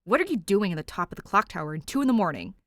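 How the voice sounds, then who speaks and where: A woman's voice: medium pitch, big pitch swings, very fast, dry recording, natural voice. A woman voicing a stressed, protective young man with a tense, scolding way of talking in a fan fiction reading. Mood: stressed, exasperated.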